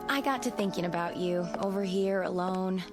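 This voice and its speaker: A woman's voice: on the low side, normal speed, music in the background, close to an everyday voice. A woman playing a concerned, easygoing friend in a radio drama, with casual, reassuring phrasing. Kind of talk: old-time radio drama acting.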